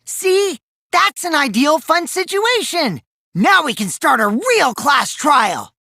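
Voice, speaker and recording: A man's voice: very high voice, big pitch swings, slow, creaky, pushed and bright, no room sound, clean studio sound, heavily acted. A man voicing a mischievous, taunting teenage boy in an English video game, with childish, singsong mockery and theatrical delivery. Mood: gleeful. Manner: childish, singsong mockery, theatrical swings from gleeful to coolly sly.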